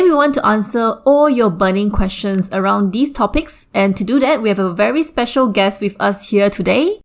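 Woman, podcast co-host, mid pitch, clear deliberate intro reading.

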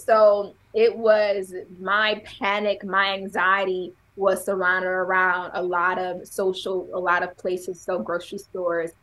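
A woman guest on a podcast with a stutter, telling her story in a candid, animated way and laughing often. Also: medium pitch, takes it slowly, few pauses, poor audio. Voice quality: has a stutter. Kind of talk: casual conversational interview.

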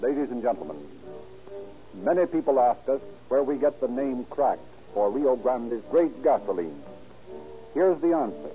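A man's voice: mid-range voice, animated, unhurried, pauses often, mellow, faint music behind. A man working as a radio announcer, reading scripted copy in the formal, emphatic style of 1930s radio. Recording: muffled 1930s radio recording.

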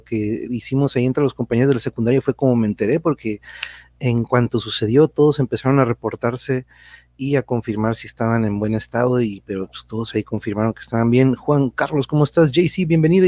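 Male livestream host, slightly husky, mid-pitched, rambling and informal, quick to chuckle. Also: warm tone, no echo. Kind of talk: casual livestream chat.

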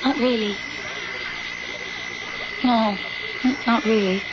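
An actress playing a pained, guarded young daughter who suffers from headaches, in an old radio drama, with a questioning manner. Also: female voice, a little high, fairly even intonation, slow pace. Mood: weary, guarded. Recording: dull, heavily noisy old radio recording.

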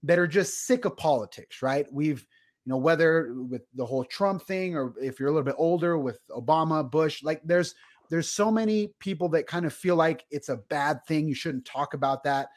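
A man's voice: on the high side, moderate pace. A man hosting a podcast interview, talking in a casual, chatty way with many "kind of" and "you know" hedges. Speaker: podcast host.

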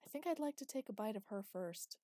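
A woman reading a gleeful bloodthirsty man, shouting. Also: mid-range voice, animated, brisk, no room sound, studio quality, pitched above the performer's own voice, lightly acted. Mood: gleeful, taunting. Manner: loud, taunting, shouting. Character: reckless bloodthirsty young man, gleeful and crude.

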